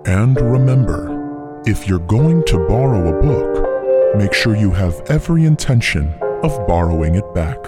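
A man reading the closing credits of a podcast in a steady, measured way. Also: lowish voice, loud music behind the voice.

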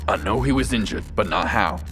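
A man playing a stern, impatient army major in a science fiction audio drama, gruff and curt. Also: lowish voice, animated, brisk, a bit bright, faint music behind, poor audio. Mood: stern, irritated.

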